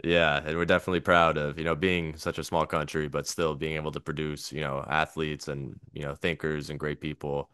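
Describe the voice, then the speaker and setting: A man's voice: a bass voice, little pitch movement, a little fast, hardly any pauses, a bit bright, smooth, dry recording, clean studio sound. An American man hosting a podcast, asking chatty, rambling questions with lots of fillers. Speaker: podcast host. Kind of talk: casual interview.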